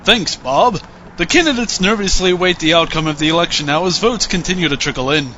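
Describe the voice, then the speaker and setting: A man as a highish-voiced deadpan reporter. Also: a little fast, muffled recording, a little hiss or hum. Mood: dry, probing. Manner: deadpan, formal. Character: straight-faced TV political field reporter. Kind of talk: parody news reporting.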